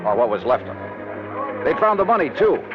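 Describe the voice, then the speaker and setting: An actor playing a hard-boiled, skeptical insurance investigator in a 1950s radio drama, clipped, pressing and wry. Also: male voice, mid-range voice, very animated, moderate pace, over music, close to an everyday voice. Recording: muffled vintage radio recording.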